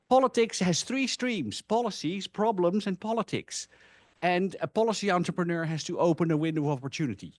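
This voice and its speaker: A man who is a non-native English speaker, giving an informal academic presentation in an animated storytelling manner with rhetorical asides. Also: mid-range voice, no room sound, studio quality. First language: not English (English is a third language).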